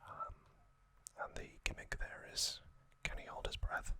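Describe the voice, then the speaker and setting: A man whispers his way through a relaxed ASMR recap, slow and unhurried. His whisper is crisp and bright, and he hesitates often with soft ums between thoughts, giving casual fan opinions as he goes. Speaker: ASMR host.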